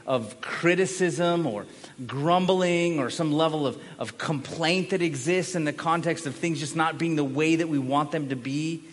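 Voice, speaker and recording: A man preaching a sermon as a pastor, speaking emphatically, repeating key phrases and posing rhetorical questions. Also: fairly high pitch. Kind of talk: sermon to a congregation. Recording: echoey hall with long reverb.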